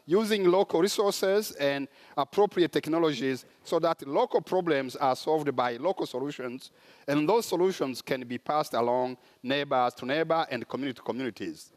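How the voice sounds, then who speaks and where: A man from Rwanda with a Rwandan accent, giving a conference talk in a sermon style with frequent pauses and fillers. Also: fairly high pitch. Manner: halting, with many "uh" pauses. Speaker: conference speaker. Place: large conference hall.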